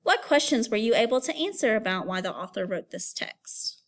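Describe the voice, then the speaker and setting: A woman with a fairly high voice and very animated intonation gives a read-aloud lesson for children. She speaks as an elementary teacher, phrasing things clearly and instructing young listeners step by step. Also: normal speed.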